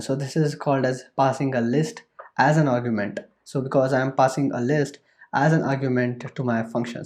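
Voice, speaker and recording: A man's voice: mid-range voice, darkish tone. A man explaining programming while running code on his screen, in a loose, repetitive way with many fillers and restarts. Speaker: programming tutor. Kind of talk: screen-recorded coding tutorial.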